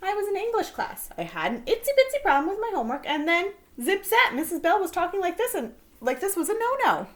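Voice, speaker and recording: A woman plays a little girl in a storybook, high-voiced and earnest. She explains herself in childlike rhyming words with a very lively, swinging pitch. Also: fairly quick, few pauses. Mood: pleading. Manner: childlike, sing-song rhyming baby words.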